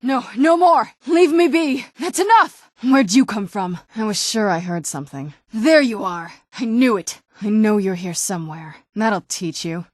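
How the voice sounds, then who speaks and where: A woman's voice: mid-range voice, expressive, unhurried, few pauses, darkish tone, dry recording. An actress playing a young female vampire companion in a video game, with a dry, guarded, sardonic manner. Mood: fierce, combative, then watchful. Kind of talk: video game character voice acting.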